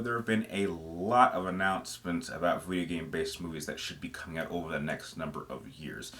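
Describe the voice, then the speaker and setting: A man's voice: low-pitched, sharp tone. A man giving casual, semi-scripted commentary as the host of a gaming video, explaining his opinions conversationally and stressing phrases for emphasis.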